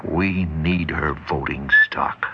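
A man's voice: very deep voice, fairly even intonation, slow, creaky, heavily acted. An actor in a 1960s radio drama playing a guarded, ambitious businessman who talks in terse questions. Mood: uneasy, calculating. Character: guarded, ambitious businessman brother, wary but persuadable. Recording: muffled, hissy 1960s radio recording.

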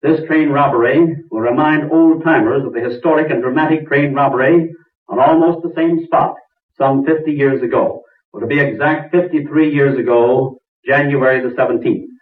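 A man's voice: mid-range voice, normal speed, lightly acted. Actor doing serious old timey news announcer man, formal delivery, super tinny radio w echo. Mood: grave, urgent. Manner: formal, declamatory. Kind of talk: 1940s radio news bulletin acting. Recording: distant, echoey, tinny old radio bulletin.

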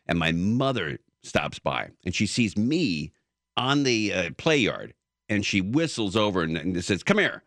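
A man's voice: on the low side, sing-song, measured pace, a bit bright, no echo, studio quality. A man hosting a casual talk show who tells rambling stories full of fillers and restarts. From Southern California. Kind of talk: casual conversation.

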